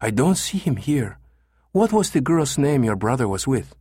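A man voicing a pleasant, courteous woman receptionist at a Spanish agency, speaking slowly and helpfully. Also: medium pitch, sing-song, mellow, slightly rough, no echo, studio quality, pitched above the performer's own voice. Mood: polite, helpful.

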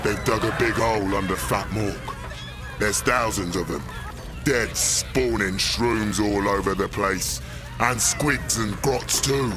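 A man playing a big, dim, boastful orc boss in an audio drama, with a blustering working-class London accent and gravelly voice. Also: lowish voice, slow, music in the background. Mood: alarmed, blustering. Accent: English, working-class London. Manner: blustering, rough ungrammatical speech.

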